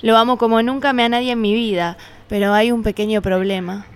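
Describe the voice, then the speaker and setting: A woman's voice: fairly high pitch, fairly even intonation, fast talker, smooth, natural voice. A woman playing an earnest, secretive, lovestruck girlfriend in a comedy radio play. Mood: earnest, pleading.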